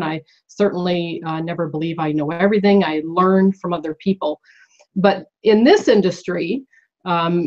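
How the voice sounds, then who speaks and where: A woman from Canada, a mother, giving an earnest motivational talk as a guest. She is nasal from a cold and pauses often with ums. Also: fairly low pitch. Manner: earnest, with many ums and uhs. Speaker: guest speaker. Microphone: phone call. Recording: dull phone-line sound.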